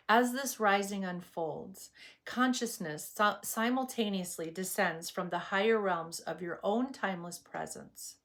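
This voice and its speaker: A woman teaching meditation in a scripted spiritual talk, with a soothing, measured and reverent delivery. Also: mid-range voice, fairly bright tone.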